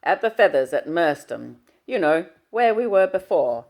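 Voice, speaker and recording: A woman reading aloud and voicing a cheerful middle-aged father, with a jovial, brisk and booming manner. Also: very animated, darkish tone. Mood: hearty.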